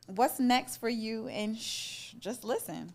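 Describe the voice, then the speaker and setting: A woman's voice: fairly high pitch, slow pace, gravelly, a little mellow. A woman hosting a casual live interview, encouraging her guest with affirming interjections and conversational questions. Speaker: interviewer, host.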